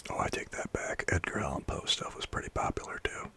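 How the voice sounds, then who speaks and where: A man chatting with his livestream viewers in a soft whisper, rambling and chuckling as he goes. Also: a little fast.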